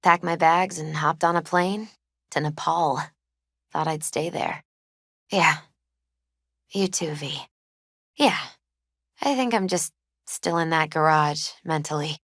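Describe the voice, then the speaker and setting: A woman playing a gentle, grieving woman in her twenties in a video game, subdued, hesitant and wistful in naturalistic dialogue. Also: low voice, slow pace, long pauses, no room sound, studio quality, exaggerated voice. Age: 20s.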